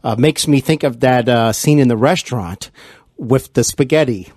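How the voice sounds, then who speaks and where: A man hosting a conversational podcast interview, effusive and halting, often repeating words as he searches for phrasing. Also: medium pitch, a little mellow, no echo, clean studio sound.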